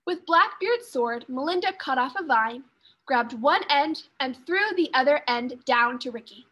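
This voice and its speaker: A woman teacher reading a children's story aloud with expressive narration. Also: high voice, a little slow.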